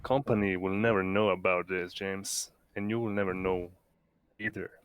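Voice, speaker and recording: A man's voice: low-pitched, steady tone, normal speed, smooth, no echo, dull audio. A man playing a tabletop roleplaying game, casually narrating and voicing his own character.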